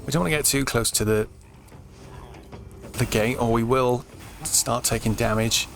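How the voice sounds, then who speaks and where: A British man giving laid-back, explanatory let's play commentary in an informal way, his voice slightly croaky. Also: mid-range voice, unhurried. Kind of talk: casual game commentary.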